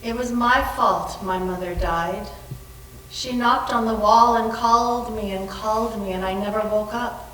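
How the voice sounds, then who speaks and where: A woman's voice: a little high, unhurried, a bit bright. A woman playing an anxious, haunted, guilt-ridden woman in a dramatic readers theater reading of a ghost story. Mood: guilty, haunted. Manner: dramatic, reads own dialogue tags. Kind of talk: readers theater narration and dialogue. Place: library room, live staged reading. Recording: echoey room, far from mic, faint noise.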